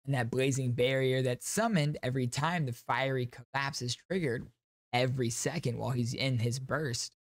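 A man's voice: mid-range voice, normal speed, dry recording. Young man, enthusiastic gaming host, dry sound. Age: young adult. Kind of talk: upbeat game reaction commentary. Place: small home streaming room, indoors. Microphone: close studio microphone on a boom arm.

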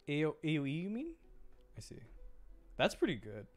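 A game streamer with a mid-pitched, very lively voice talks through play in a casual, reactive way. They mutter to themselves and address the characters, often repeating short phrases. Game music plays clearly behind the voice. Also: normal speed. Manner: animated, reactive. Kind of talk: casual live gameplay commentary.

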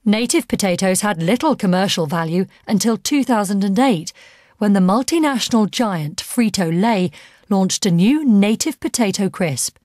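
A woman's voice: medium pitch, lively intonation, normal speed, a little mellow, dry recording, clean studio sound. A woman reading scripted documentary narration as the narrator of the film. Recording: music under the narration. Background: background music.

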